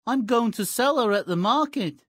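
Lively, cheeky peasant boy. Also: on the high side, moderate pace, no echo, clean studio sound. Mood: eager. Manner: cheeky, nonchalant. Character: lazy, cheeky, hungry young peasant boy. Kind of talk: video game character acting.

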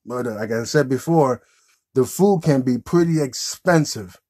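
A man's voice: mid-range voice, measured pace, a little mellow, slightly rough. A man in his thirties chatting casually and off the cuff as the host of a puppet show. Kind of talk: casual improvised chat with a puppet. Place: small indoor room with green backdrop.

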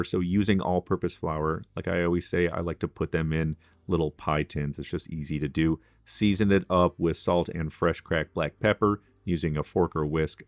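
Deep-voiced chef giving cooking steps. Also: male voice, dry recording. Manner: instructive, friendly, practical kitchen tips. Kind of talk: cooking tutorial voice-over.

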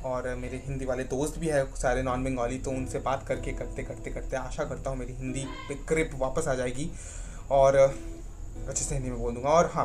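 A young man, chatting excitedly and rambling as he reacts to a song, correcting himself often. He speaks into a webcam in a small room indoors. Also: mid-range voice. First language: Bengali. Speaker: YouTube reaction channel host. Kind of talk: casual music reaction vlog.

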